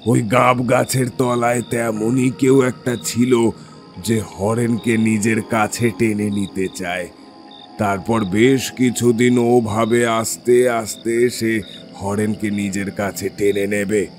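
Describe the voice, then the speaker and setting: Man acting a slow, grave village exorcist. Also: on the low side, level tone, faint music behind. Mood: grave explaining. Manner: solemn, deliberate, warning. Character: grave village exorcist, stern, ominous, commanding older man. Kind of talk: Bengali horror audio drama acting.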